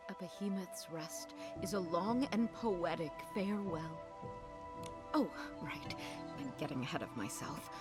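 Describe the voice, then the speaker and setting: A woman's voice: fairly low pitch, unhurried, natural voice. An actress playing a wistful, easily flustered young lady in a video game, speaking in a melancholy, hesitant, dramatic way. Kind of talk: voiced video game cutscene dialogue. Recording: faint game music underneath.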